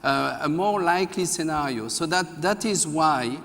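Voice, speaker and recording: A measured, analytical man laying out his argument on a debate panel. His voice sits slightly higher than average for a man. The sound carries the long reverb of a large hall. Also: animated, a bit bright. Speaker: research fellow on a debate panel. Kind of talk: formal public debate.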